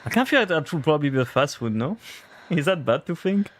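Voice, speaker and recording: A man's voice: on the high side, sing-song, fast talker. Rambling French-accented man streaming a game. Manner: chatty, rambling, answers chat. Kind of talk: casual livestream chat while gaming.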